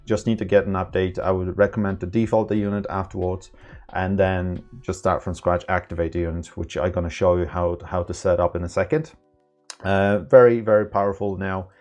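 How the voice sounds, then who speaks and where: A man presenting an informal, unscripted tech tutorial, explaining in a halting way with non-native phrasing. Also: deep voice, flat delivery, darkish tone, highs cut off.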